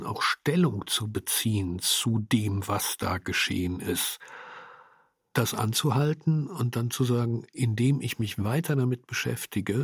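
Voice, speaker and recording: A man's voice: medium pitch, breathy edge, dry recording, studio quality. A man who makes radio drama, reflecting on his work in an interview.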